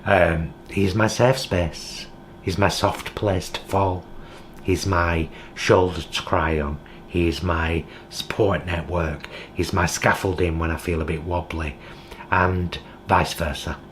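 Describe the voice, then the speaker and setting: A man's voice: deep voice, fairly even intonation, slow, fairly bright tone, slight background noise. A British man talking in a heartfelt, reflective vlog monologue from his home kitchen. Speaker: vlogger.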